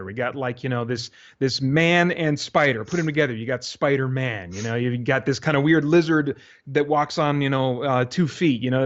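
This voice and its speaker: Rambling man in a dull lo-fi recording. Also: medium pitch. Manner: rambling, often acts out his own thoughts as quotes. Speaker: interview guest, creator of a radio drama. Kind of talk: casual interview answers, thinking aloud. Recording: dull, lo-fi interview audio.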